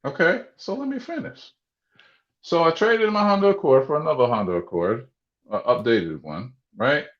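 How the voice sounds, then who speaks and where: A man's voice: on the high side, very animated, moderate pace. A man hosting a sports talk show, rambling and interrupting himself with many restarts. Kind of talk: casual sports radio banter. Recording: echoey room, dull muffled sound.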